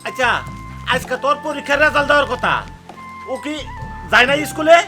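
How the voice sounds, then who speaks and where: A woman's voice: sits high, brisk, music in the background, natural voice. A woman voicing a fretful, questioning mother in a comic cartoon, with a Bangladeshi accent. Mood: worried, questioning. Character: concerned, questioning mother of a wayward girl. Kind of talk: comic Bengali cartoon drama.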